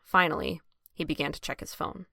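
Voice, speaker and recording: A woman's voice: deep voice, a little fast, creaky, bright, forceful tone, dry recording, clean studio sound. A woman reading scripted narration for an audio drama.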